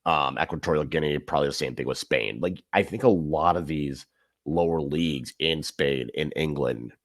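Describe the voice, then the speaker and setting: A man co-hosting a podcast, giving calm, measured football analysis in casual chat. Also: very deep voice, no echo, studio quality. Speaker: podcast co-host.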